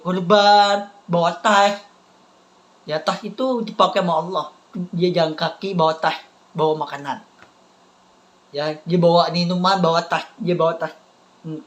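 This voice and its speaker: A man chats straight to his viewers. He talks in a rambling, halting way and keeps looping back to the same few phrases. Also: sits high. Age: 30s. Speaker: vlogger. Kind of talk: casual rambling talk to viewers.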